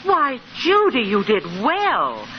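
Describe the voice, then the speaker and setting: Genteel older aunt for vintage radio comedy: fussy, precise, swooping intonation, faint hiss. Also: female voice, high-pitched, huge pitch swings, slow pace, heavily acted. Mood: earnest. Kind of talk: 1940s radio comedy acting. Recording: muffled hissy 1940s radio recording.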